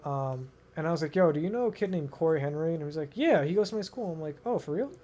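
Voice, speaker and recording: A man's voice: a little high, lively intonation, quick pace, dark tone. A young American man telling stories casually to a friend, rambling and slangy, often repeating himself. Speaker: video host. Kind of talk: casual storytelling chat with a friend.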